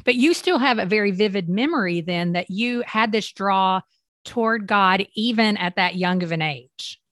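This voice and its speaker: A woman's voice: medium pitch, moderate pace, a bit bright, dry recording. A woman hosting a faith podcast, reading her intro and then interviewing her guest in a conversational way. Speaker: podcast host.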